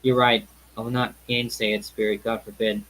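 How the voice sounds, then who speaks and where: An old miser speaks in short, low replies, voiced by a male reader. He is gruff and uneasy. The reading stays close to the reader's own voice. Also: little pitch movement, normal speed, fairly bright tone, lightly acted, rough recording. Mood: subdued.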